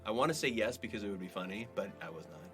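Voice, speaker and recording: A man's voice: lowish voice, animated, racing, faint music behind. A man bantering casually as a podcast co-host, with a playful, teasing, joking manner.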